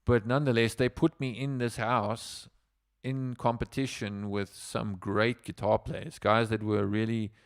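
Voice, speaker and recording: South African musician, low gravelly voice, dry sound, loose rambling storyteller. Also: male voice, little pitch movement, measured pace, few pauses, no room sound. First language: Afrikaans. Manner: rambling, with many fillers and restarts. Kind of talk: casual livestream storytelling.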